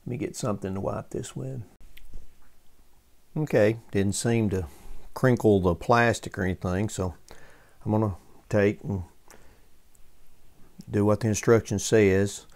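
A man with a low, gravelly voice talks through a hands-on repair job. He is folksy and casual, pausing often as he thinks aloud. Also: steady tone, a little mellow. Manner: folksy, halting, thinking aloud while working. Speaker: host of a vintage radio restoration channel.

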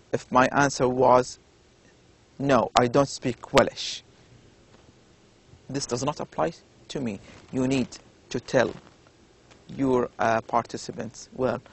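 A man with an Arabic accent giving a recorded university lecture, explaining slowly with many pauses and fillers. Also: medium pitch, gravelly, a bit bright, slightly rough. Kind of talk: recorded lecture over slides.

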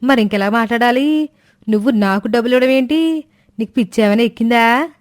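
A woman's voice: a little high, fairly quick, no echo, clean studio sound, lightly acted. A woman voicing a scheming, sweet-talking middle-aged village woman in a moral story. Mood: scornful. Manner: coaxing, then sharp and mocking. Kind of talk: Telugu storybook voice acting.

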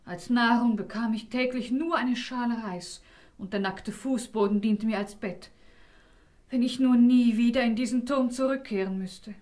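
A gentle young princess of a fairy-tale radio play, acted by a woman. Her voice is fairly high. She sounds earnest, sorrowful and plaintive. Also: moderate pace, close to an everyday voice. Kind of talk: fairy-tale audio drama acting.

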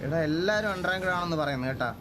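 A man with a Kerala Malayalam accent giving excitable live gaming commentary to his teammates, repeating short phrases as he goes. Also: high-pitched, very fast, a loud crowd. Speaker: livestream gamer, host. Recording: noisy gaming livestream voice chat.

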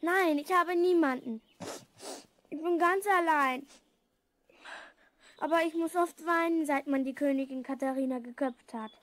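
A woman's voice: sits high, unhurried, pauses often, a bit bright. A woman playing a lonely, imperious young princess in a children's audio drama. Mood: sad.

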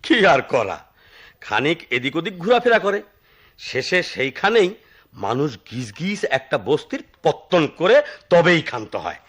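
A man's voice: on the high side, very animated, normal speed, a bit bright. An actor playing an eccentric, talkative retired railway switchman in a radio drama, with a theatrical storyteller's manner. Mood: animated storytelling.

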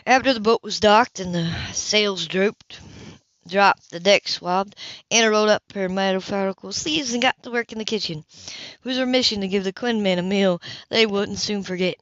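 A woman's voice: medium pitch, moderate pace, fairly bright tone, no echo. A woman reading a novel aloud as an amateur narrator, occasionally stumbling over words. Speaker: amateur audiobook reader. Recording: dull, lo-fi home recording.

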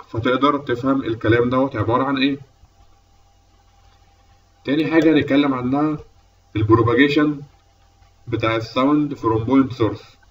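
A man's voice: medium pitch, distant mic, muffled recording. A man with an Egyptian Arabic accent giving a methodical, explanatory lecture as an instructor, step by step. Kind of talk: explanatory lecture over slides.

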